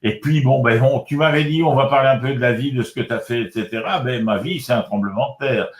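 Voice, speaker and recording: A man in his sixties, born in Congo, talking as an author and guest in a relaxed spiritual chat, often repeating words and restarting. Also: mid-range voice, fairly quick. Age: 60s. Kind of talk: casual spiritual conversation. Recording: roomy echo.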